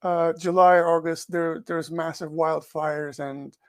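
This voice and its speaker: A man's voice: fairly high pitch, flat delivery, takes it slowly, clean tone, dry recording, studio quality. A man who grew up in Portugal and speaks English as a second language, answering interview questions thoughtfully as an author and researcher. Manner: thoughtful, repeats words as he thinks. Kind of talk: podcast interview.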